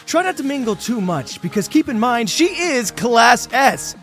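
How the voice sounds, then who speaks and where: A man's voice: very high-pitched, sing-song, music in the background. A man playing a flamboyant, cynical game show host in an audio drama, slick, mocking and theatrically condescending. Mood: showy, gleeful. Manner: theatrical, condescending, drawn-out showy delivery. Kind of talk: bombastic TV game show presenting.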